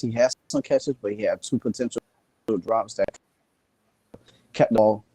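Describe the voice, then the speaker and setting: A sleepy man joining a sports talk show as a guest, speaking haltingly with many fillers and restarts. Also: lowish voice, dark tone, husky, no room sound, poor audio.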